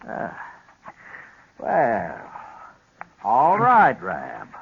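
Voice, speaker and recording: Drawling Western rancher, calmly menacing, lively pitch swings, dull vintage radio sound. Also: male voice, extremely slow, vocal fry, husky, exaggerated voice. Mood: grudging, threatening. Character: illiterate Western rancher, coldly threatening. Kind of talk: 1950s radio western acting. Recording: dull old radio recording.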